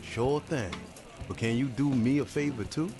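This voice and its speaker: An actor playing a loyal, folksy barkeep in an old-time radio drama, slangy and deferential. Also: male voice, mid-range voice, moderate pace, vocal fry, over music, natural voice. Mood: obliging. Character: loyal, folksy speakeasy barkeep man, puzzled, solicitous.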